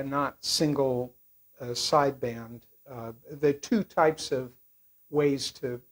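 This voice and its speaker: An older man giving a casual product review in a rambling, halting way. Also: on the high side.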